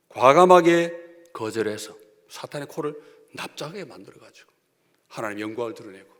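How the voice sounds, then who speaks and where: Lively Gyeongsang-accented man preaching in a hall. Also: a little high. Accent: Gyeongsang Korean. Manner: emphatic preaching with sharp swings between loud and hushed. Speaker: pastor. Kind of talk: sermon. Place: church sanctuary. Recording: long church hall reverb.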